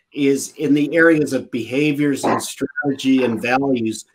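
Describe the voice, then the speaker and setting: A man talks at a middle pitch in an earnest, conversational way, filling pauses with um and repeated words. He comes through a video call with a faint hiss behind him. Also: a little mellow, rough recording. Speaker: guest speaker. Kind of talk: conversational webinar talk.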